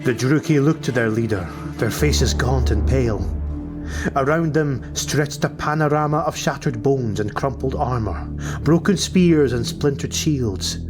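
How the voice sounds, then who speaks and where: Male fantasy narrator, mid-pitched, unhurried dramatic read over a clear music bed. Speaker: audiobook narrator.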